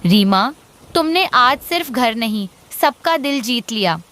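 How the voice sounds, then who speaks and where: A woman's voice: fairly high pitch, expressive, a little slow, a bit bright. A woman voicing an anxious pigeon mother with an earnest, insistent cartoon delivery. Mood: admiring. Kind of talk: Hindi moral-story cartoon dialogue.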